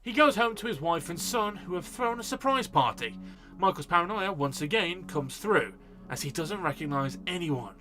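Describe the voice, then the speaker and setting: A man's voice: sits high, lively intonation, normal speed, sharp tone. A man giving a casual movie review as a video host, in a blunt, sweary, informal manner. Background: background music.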